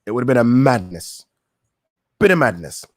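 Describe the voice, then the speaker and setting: A man's voice: medium pitch, very animated, moderate pace, no room sound. A young man talks through viewers' boxing questions in a loose, rambling live-stream style. He swears offhandedly and corrects himself mid-sentence. His mid-range voice sits dry and close to the mic. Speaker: boxing talk show host.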